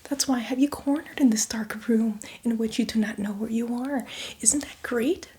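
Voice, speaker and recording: A woman playing a boastful, playfully menacing female zombie in a chatty, teasing ASMR roleplay, speaking in a breathy, hushed whisper. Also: on the high side, normal speed, slight background noise, natural voice. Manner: chatty, teasing, addresses the listener as victim.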